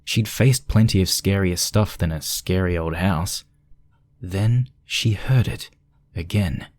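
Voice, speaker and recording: A man's voice: deep voice, slow pace, darkish tone, dry recording. A man reading scripted narration for a spooky fan fiction story.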